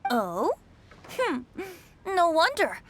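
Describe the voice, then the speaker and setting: A woman voicing a cheerful, playful young male bard with a theatrical, breezy, flattering manner in a video game. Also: very high voice, extremely slow, a little hiss or hum, full character voice. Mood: flattering, amused. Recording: music behind the voice.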